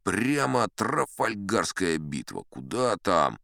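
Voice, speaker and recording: An actor playing a boastful old sea captain, spinning tall tales in a self-satisfied, chatty storybook narration. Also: male voice, low-pitched, very animated, slow, creaky, sharp tone, no room sound, clean studio sound, full character voice. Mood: animated boasting. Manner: self-satisfied, conversational raconteur. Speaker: audiobook narrator in character. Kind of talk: theatrical first-person storybook narration.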